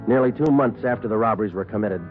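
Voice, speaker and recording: An American actor playing a calm, dogged police detective who narrates his case in a terse, matter-of-fact way, in old radio drama style. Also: fairly low pitch, quick pace, close to an everyday voice. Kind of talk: 1950s radio drama acting, clipped narration. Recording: tinny old radio broadcast, music behind.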